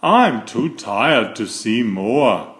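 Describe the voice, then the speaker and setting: A man's voice: medium pitch, expressive, extremely slow, a bit bright, roomy sound. A man voicing a timid, easily startled young turtle schoolboy in a storybook, hesitant and wide-eyed. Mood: reluctant, tired.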